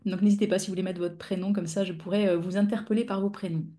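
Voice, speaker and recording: A middle-aged woman speaks into a video-call mic as she hosts a live webinar for fellow clinicians. Her tone is mellow and relaxed. She explains things in a measured, patient way and pauses often to search for words. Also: medium pitch. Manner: measured, explanatory, with frequent hesitations. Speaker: physiotherapist and pain-education instructor, webinar host.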